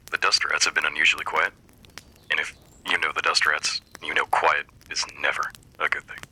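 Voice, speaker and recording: A man playing a wry, folksy post-apocalyptic radio host, delivering scripted show patter in a dry, reassuring announcer manner with a husky voice. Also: rumbling low, bright, forceful tone, full character voice, rough recording.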